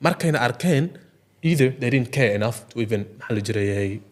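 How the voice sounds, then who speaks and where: Very fast-talking man in discussion. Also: mid-range voice, animated. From Ogaden region. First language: Somali. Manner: repeats filler phrases, switches between Somali and English. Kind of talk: impassioned casual discussion.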